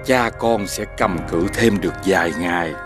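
A male actor playing a wise, kindly old household god with a measured, musing manner in an animated fairy tale. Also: low voice, lightly acted. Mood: thoughtful. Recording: music under the voice.

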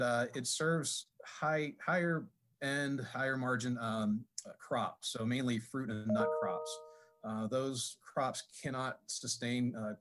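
A man's voice: medium pitch, fairly bright tone. Guy presenting at an industry conference, lots of uh and um, keeps restarting his sentences. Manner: halting, many fillers and restarts. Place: conference session room.

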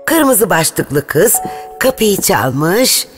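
Female storyteller, children's tale narration, slow, measured, expressive, music behind. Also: lowish voice.

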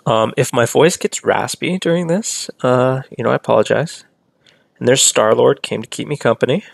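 A man's voice: medium pitch, moderate pace, creaky, husky, dry recording. A man live-streaming a mobile strategy game, chatting casually and answering viewers in chat. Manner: chatty, reads and answers chat, rambling asides.

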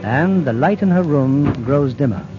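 A man's voice: a little high, animated, moderate pace. A man narrating a radio drama in a suspenseful, scripted style. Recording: dull old broadcast with music. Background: prominent violin music.

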